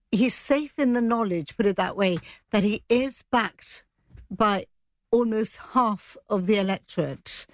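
A woman's voice: mid-range voice, no room sound. A woman giving conversational political analysis in a considered, matter-of-fact way. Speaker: political commentator.

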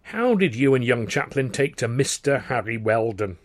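A man's voice: mid-range voice, sing-song, moderate pace, dry recording, close to an everyday voice. A man voicing a middle-aged male theatre impresario who is jovial but touchy, hesitant then snapping. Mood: probing.

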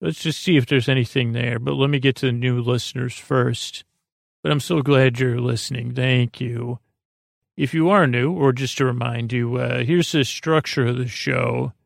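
A man with a creaky voice hosts a sleep podcast, meandering hesitantly through tangents in a bedtime monologue. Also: medium pitch, fairly even intonation, normal speed, no echo, studio quality. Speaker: podcast host.

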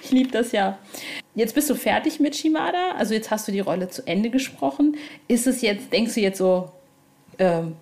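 High-voiced, enthusiastic woman podcast host. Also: expressive, normal speed, a little mellow. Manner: enthusiastic, informal. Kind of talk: casual chatty interview.

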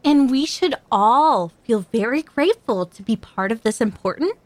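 A woman's voice: a little high, animated, moderate pace, no echo, lightly acted. A woman playing an earnest, sugary corporate rep. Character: earnest female corporate charity representative.

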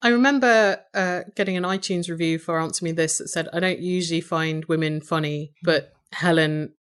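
A woman with an English accent and a low voice with some vocal fry, talking thoughtfully and wryly as a guest in a conversational interview. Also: steady tone, normal speed. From Britain. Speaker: podcast host, interview guest.

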